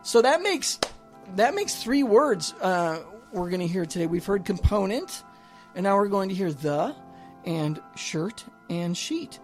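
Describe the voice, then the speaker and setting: A comedic radio host with music playing behind. The delivery is casual and halting, circling back over phrases with mock seriousness, at an ordinary pitch. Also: big pitch swings. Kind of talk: absurd comedic radio presenting, casual and rambling.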